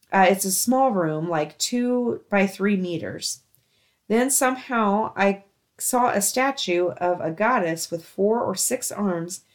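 A woman reads stories aloud as the host of a show. She has a middle-pitched voice and keeps the delivery casual and chatty, like someone sharing submissions with a friend.

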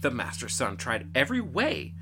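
A man's voice: mid-range voice, wildly swooping pitch, normal speed, background noise. A man narrating a storybook tale in a playful, theatrical way, with music behind him.

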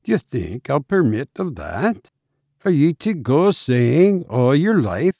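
A man's voice: a little high, warm tone, no room sound, clean studio sound, heavily acted. A man voicing a hearty, scoffing big Irish doctor with a blunt, teasing manner in a storybook reading. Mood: scoffing, insistent.

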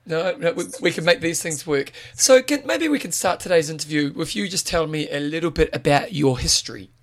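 A man's voice: fairly high pitch, animated, fairly quick. A man hosting a podcast, talking in an upbeat, informal way and calling his listeners team. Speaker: podcast host. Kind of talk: casual podcast interview.

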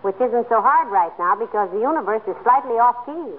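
An actress playing a patient, wisecracking wife, dry and gently teasing, in a 1940s radio comedy. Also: female voice, on the high side, expressive, brisk, narrow, tinny audio, close to an everyday voice. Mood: dry, amused. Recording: thin, noisy 1940s radio broadcast.

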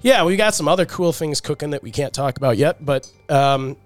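A man's voice: on the high side, fairly quick, soft background music. A man co-hosting a podcast in casual chat, a little congested from a cold, talking loosely with many fillers. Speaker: podcast co-host.

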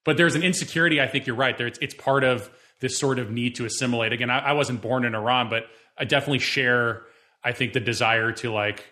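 Measured, reflective male interviewer. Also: medium pitch, bright, forceful tone. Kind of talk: thoughtful interview questions.

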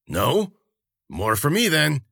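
A man voicing a boisterous, hairy male healer who drinks and laughs loudly and teases everyone. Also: huge pitch swings, slow, creaky, dry recording, studio quality, full character voice. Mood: sly, teasing. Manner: booming laughter, loud and teasing. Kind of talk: audiobook character voice.

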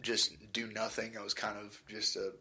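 An American man in his twenties chatting casually as a podcast guest, in a halting, rambling, slangy way. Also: fairly low pitch, flat delivery, sharp tone. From United States, California. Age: 20s. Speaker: podcast guest, amateur fighter. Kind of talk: casual chat.

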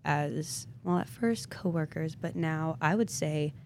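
A woman's voice: deep voice, unhurried, darkish tone, a little hiss or hum. A woman in her twenties hosting a podcast, chatting casually and giggling often with lots of filler words. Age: 20s. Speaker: podcast host. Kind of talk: casual chat.

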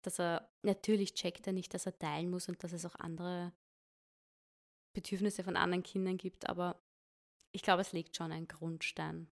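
Gravelly low-voiced mom. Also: female voice. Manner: lively, quick, chatty. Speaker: podcast co-host, mother. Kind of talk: casual parenting chat.

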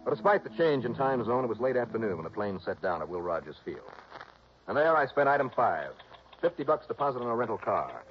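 An actor playing a brisk, tough, insistent investigator in a 1960s radio drama, clipped and urgent in dialogue and narration. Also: male voice, mid-range voice, sing-song, lightly acted. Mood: terse narration. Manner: clipped, urgent, hard-boiled. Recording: dull, noisy old radio recording.

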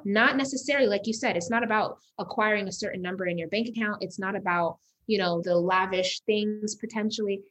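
A woman's voice: medium pitch, husky, poor audio. A woman from Uganda, raised in the US, speaking as an upbeat, motivational guest on a casual podcast interview, often laughing. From Uganda, raised in Las Vegas. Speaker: guest entrepreneur.

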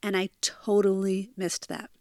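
A woman's voice: mid-range voice, completely flat delivery, measured pace, no echo, studio quality. An American woman in her forties from New Jersey, hosting a self-help podcast in an earnest, confiding, chatty way from a bedroom. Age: 40s. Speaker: podcast host. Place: childhood bedroom.

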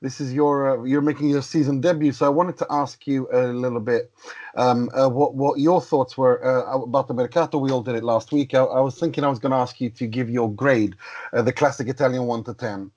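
A man's voice: medium pitch, steady tone, fairly quick, darkish tone, highs cut off. A man hosting a casual podcast, friendly and laughing often. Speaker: podcast host.

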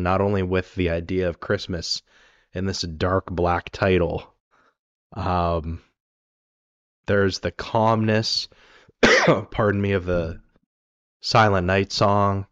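A man with a very deep voice, rough and raspy from a cold, talks through a film discussion in a loose, rambling way. The sound is dry and a little muffled, with no room around it. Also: no room sound. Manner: rambling, many ums and restarts. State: raspy from being sick. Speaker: podcast co-host.

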